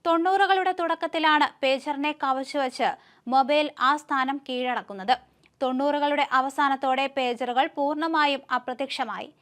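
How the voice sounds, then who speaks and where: A woman's voice: high voice, moderate pace, fairly bright tone. A woman with a Kerala Malayali accent presenting the news as scripted narration.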